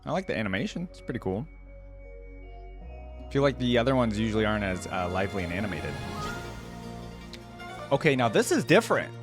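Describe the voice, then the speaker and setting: A man hosting a reaction video with casual, informal commentary, thinking out loud as he goes. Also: on the low side, very animated, a little fast, pauses often. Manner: informal, musing aloud. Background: music.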